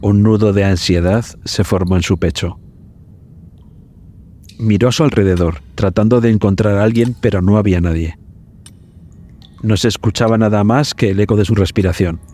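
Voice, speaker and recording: A man hosting a podcast, narrating a scripted story in a measured, suspenseful manner. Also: lowish voice, dark tone.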